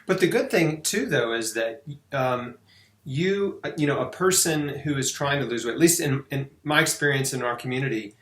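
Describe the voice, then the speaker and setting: A man's voice: mid-range voice, normal speed, fairly bright tone. A man talking casually as host of a health interview, emphatic and often checking in with "right?". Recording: echoey room sound.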